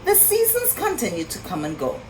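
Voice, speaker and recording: A woman reading a story aloud for young children in an expressive, sing-song way, pausing to ask her listeners questions. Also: fairly high pitch, background noise. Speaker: children's storytime reader and teacher.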